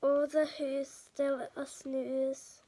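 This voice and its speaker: A woman's voice: high voice, little pitch movement, mellow. A woman reading a children's storybook aloud as a podcast host, stumbling and repeating words now and then. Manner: halting, stumbling reader who repeats words. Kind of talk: read-aloud storybook narration.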